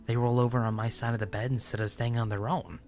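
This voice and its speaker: A man's voice: mid-range voice, little pitch movement, darkish tone, close to an everyday voice. A man voicing an obsessive, unhinged confessor over music. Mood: obsessive, confiding. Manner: deliberate, halting, with stammered repeats. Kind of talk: dramatic first-person horror story reading.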